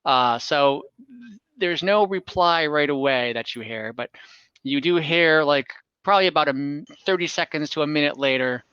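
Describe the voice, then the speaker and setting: A man's voice: fairly high pitch, moderate pace, pushed and bright, smooth, dry recording, lo-fi sound. A man improvising narration as game master of a tabletop fantasy game over an online call.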